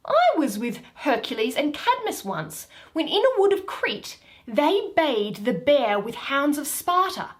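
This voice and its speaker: A woman's voice: high voice, very animated, unhurried, few pauses. A woman voicing a regal, nostalgic warrior bride, savoring lyrical Shakespearean verse. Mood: rapt nostalgia.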